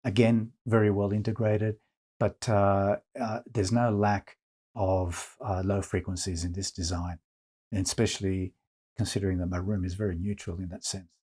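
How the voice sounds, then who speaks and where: A man presenting an informal product review as a reviewer, speaking in a measured way with hesitant pauses between phrases. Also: deep voice, flat delivery, mellow, slightly rough. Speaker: audio magazine editor and reviewer, presenter.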